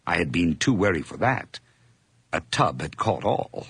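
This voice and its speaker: An actor narrating as a nervous, obsessive man confessing a murder in a radio drama, his delivery intense and feverish, moving between hushed whispers and sudden outbursts. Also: low-pitched, very animated, measured pace, creaky, husky, no echo, exaggerated voice.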